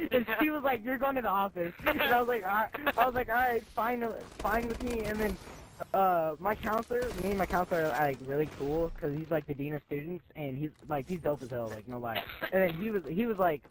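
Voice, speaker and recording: Teen boy, high-pitched, slangy storyteller who voices both sides of every exchange, on a noisy gaming mic with gunfire behind. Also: a little fast. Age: teenager, high school student. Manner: animated, acts out both sides of conversations, slangy. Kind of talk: casual anecdote telling among friends.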